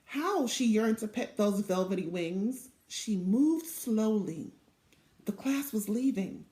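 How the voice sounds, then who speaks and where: A woman narrates a children's storybook in an expressive, deliberate way. Her voice is on the high side and she takes her time over the phrases. It sounds like a device mic in an echoey little office. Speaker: storybook reader. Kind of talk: children's read-aloud narration. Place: small indoor office.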